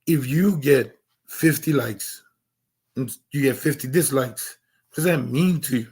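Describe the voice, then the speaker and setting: A hoarse man losing his voice, talking earnestly on a stream with lots of restarts and fillers. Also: mid-range voice. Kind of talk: casual livestream monologue.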